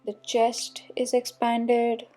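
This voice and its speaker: A woman leading a guided meditation, calmly and soothingly, giving unhurried instructions with long pauses. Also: a little high. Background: soft meditation music.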